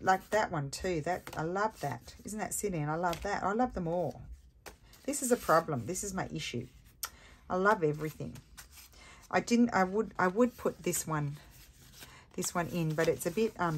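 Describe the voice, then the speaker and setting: A woman with a scratchy voice hosting a casual craft tutorial, chatting and thinking aloud as she works at her table. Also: sits low. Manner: chatty, self-mocking, thinks aloud while working. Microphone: overhead camera on a desk.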